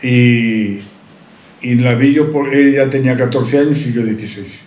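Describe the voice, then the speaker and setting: A man's voice: medium pitch, moderate pace, darkish tone. A man tells his life story in an interview, rambling proudly with many restarts. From Santander, Cantabria, Spain. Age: 70s. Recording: roomy, dull-sounding recording.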